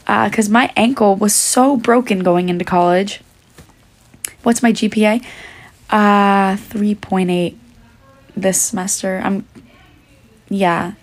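Creaky-voiced young woman losing her voice. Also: mid-range voice, fairly even intonation, slow, long pauses. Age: young adult. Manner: chatty, reading out and answering fan questions. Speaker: livestreamer answering comments. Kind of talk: casual livestream chat. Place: indoors, small room. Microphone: phone held at arm's length.

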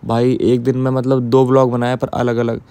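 A young man recording a voice-over for his vlog, sounding drained and exhausted, talking in a slow, tired way. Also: mid-range voice, completely flat delivery, dark tone, clear, resonant tone, no echo. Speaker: vlogger. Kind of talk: voice-over narration. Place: riding in an auto rickshaw.

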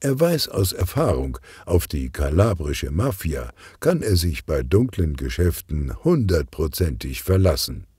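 A man's voice: rumbling low, unhurried, hardly any pauses, slightly rough, dry recording, studio quality. A man narrating a children's crime story, expressively, as a scripted audiobook. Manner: expressive storytelling, acts out dialogue.

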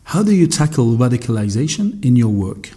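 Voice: mid-range voice, sing-song, a little fast, dark tone, breathy edge. An interviewer reading short questions between answers.

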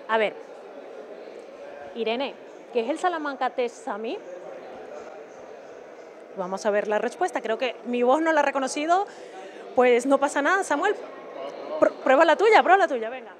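High-voiced woman reporting live. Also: lively intonation, unhurried, long pauses. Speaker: TV field reporter. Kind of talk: live on-location interview. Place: conference venue lobby. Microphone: handheld mic. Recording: crowd chatter in a busy lobby.

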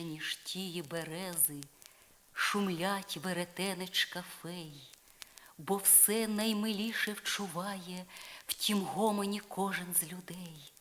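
A woman reciting verse as a calm, motherly fairy godmother, hushed, measured and soothing, on a crackly vinyl record. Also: lowish voice. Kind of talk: dramatic poetry recitation.

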